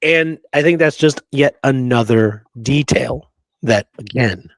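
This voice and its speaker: A man's voice: medium pitch, unhurried, no echo. A man co-hosting a history discussion, talking conversationally and emphatically, drawing out key phrases and asking rhetorical questions. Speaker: history show co-host. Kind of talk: conversational debunking discussion of an old photograph.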